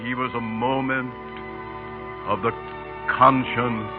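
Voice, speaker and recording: A solemn, eloquent funeral orator, played by a male radio actor, speaks slowly in exalted, declamatory phrases. His voice sits on the high side for a man. It comes through a muffled 1930s radio drama recording with music faintly behind. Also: little pitch movement, natural voice. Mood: fervent, reverent.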